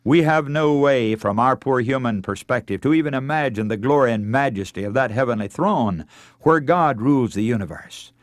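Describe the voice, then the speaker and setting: A man's voice: medium pitch, animated, normal speed, no echo. A man delivering a scripted radio sermon in an earnest, oratorical manner, speaking directly to his listeners. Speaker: radio preacher.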